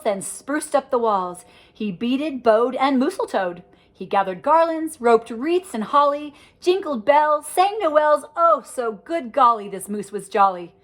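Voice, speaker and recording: An older woman reading a rhyming picture book aloud to children, with a lively, sing-song delivery and chatty asides about the pictures. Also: high voice.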